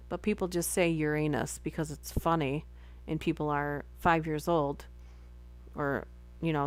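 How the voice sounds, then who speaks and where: Young woman, casual live-stream reader, rambling and giggly, a touch low-pitched. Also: a little mellow. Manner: chatty, giggly, rambling asides. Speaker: streamer reading articles aloud.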